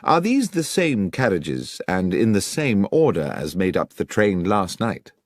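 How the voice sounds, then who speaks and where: A commanding gentleman detective performed by a man from a mystery storybook, courteous yet brisk. His formal, precise speech rises and falls with great animation in a dry, close recording. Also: deep voice, very animated, no room sound, full character voice.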